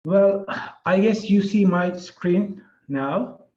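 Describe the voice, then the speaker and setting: Turkish-accented man, high and mellow. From Turkey. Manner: measured, hesitant with fillers. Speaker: associate professor presenting. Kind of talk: online conference presentation. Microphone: video call. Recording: dull, echoey video call audio.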